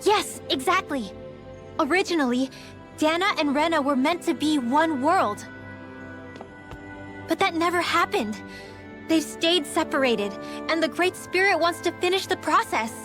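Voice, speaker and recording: High girlish voice, teenage mage, earnest, youthful, fantasy game cutscene, clear background music. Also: animated, a little slow, pauses often. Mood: earnest explaining. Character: earnest teenage girl mage sensing a great spirit. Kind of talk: video game cutscene acting.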